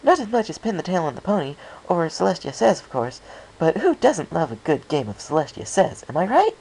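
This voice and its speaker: A man voicing a bouncy, cheerful, childlike female cartoon pony in an excitable, eager, gushing manner. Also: on the high side, brisk, gravelly, voice pushed up, exaggerated voice, rough recording. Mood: excited.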